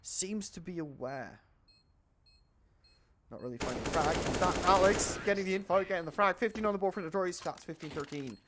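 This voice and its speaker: Excitable man casting a shooter game. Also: fairly quick, a little hiss or hum. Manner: rises in pitch and volume during fights, calm between rounds. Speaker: esports caster. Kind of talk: live play-by-play commentary. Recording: game gunfire audible behind.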